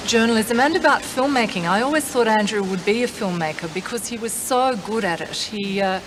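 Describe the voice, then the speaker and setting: A woman's voice: medium pitch, fairly quick. A woman, thoughtfully recalling a colleague in an interview. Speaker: interviewee, journalist and filmmaker. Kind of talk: reflective interview recollection. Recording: clear background noise and ambience.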